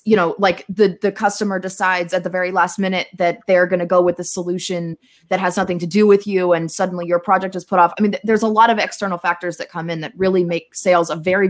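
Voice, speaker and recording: A woman chatting casually as a podcast guest, speaking in a candid, earnest way. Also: fairly low pitch. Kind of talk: casual chat.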